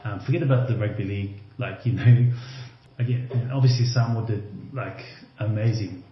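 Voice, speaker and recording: Lowish voiced guy rambling easy on a podcast, lots of you knows, roomy kinda dull sound. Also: normal speed, a little hiss or hum. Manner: loose and conversational, many fillers like "you know" and "like". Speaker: podcast guest, former rugby league player. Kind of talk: casual podcast interview. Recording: roomy, slightly dull remote audio.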